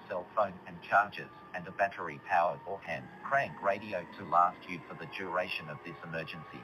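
A man playing a grave government representative reading a scripted emergency broadcast in a formal, measured manner. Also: deep voice, natural voice, low-quality recording. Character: grave male presidential representative giving a catastrophe warning.

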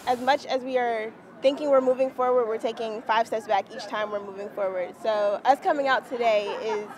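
A young woman visitor gives an earnest, reflective street interview on an outdoor city plaza. Her voice sits a little high, and a crowd chatters loudly around her. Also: fairly even intonation, fairly quick.

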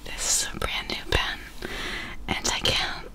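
A young woman whispering softly and breathily in an ASMR roleplay as an optometrist, talking straight to the listener close to the microphone and repeating phrases. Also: a bass voice, monotone, gravelly, rough recording. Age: young adult. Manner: soft, unhurried, repeats phrases, speaks directly to listener. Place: small dim room indoors. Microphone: close binaural ear microphone.

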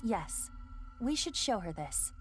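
A woman's voice: medium pitch, big pitch swings, takes it slowly. A woman voicing a composed, inquisitive female AI investigator in a video game, speaking precisely and questioningly. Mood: composed, probing. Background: video game music.

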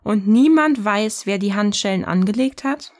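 A woman acting a self-assured, provocative partner in scripted audio-novel dialogue, speaking in a poised, deliberate way. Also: mid-range voice, lively intonation, darkish tone, natural voice.

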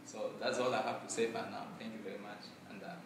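A young man from Congo, a native Mashi speaker, giving an earnest student presentation in a library room with many filler pauses. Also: lowish voice, flat delivery, background noise. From Democratic Republic of Congo. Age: young adult. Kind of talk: formal presentation to an audience. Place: library room with bookshelves, indoors. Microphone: far camera. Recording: echoey room, from far off.

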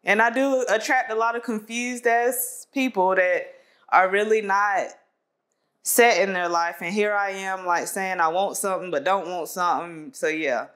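A woman in her twenties talks openly as a guest, filling her sentences with small hesitations and frequent laughter. She sits in a bright studio and is picked up by a lapel mic. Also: mid-range voice, normal speed. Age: 20s. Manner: candid, laughs often, many fillers. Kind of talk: casual conversation.

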